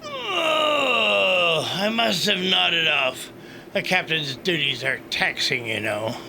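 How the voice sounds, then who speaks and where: Man acting a slow, bright-voiced bumbling captain. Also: high voice, sing-song, gravelly, slight background noise, full character voice, low-quality recording. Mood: flustered. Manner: hesitant, flustered, blustering. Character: bumbling, self-important male space station captain. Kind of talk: sci-fi comedy audio drama acting.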